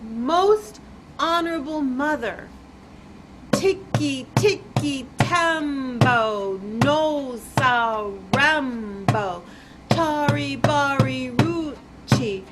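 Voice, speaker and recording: A woman's voice: high-pitched, voice pushed up. A woman voicing a small, polite, frantic boy in a storybook, breathless and calling out for help. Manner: breathless, shouting, reciting a long name.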